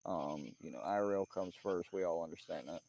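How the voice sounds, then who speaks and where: A man's voice: deep voice, fairly quick, darkish tone, dry recording. A man leading a casual roleplay police briefing, easygoing and full of fillers.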